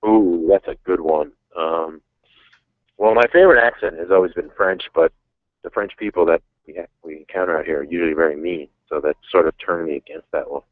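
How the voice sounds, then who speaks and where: Deep-voiced man on a phone line. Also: fairly quick, dry recording. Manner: frank, self-deprecating, rambling. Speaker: caller. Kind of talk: casual chat.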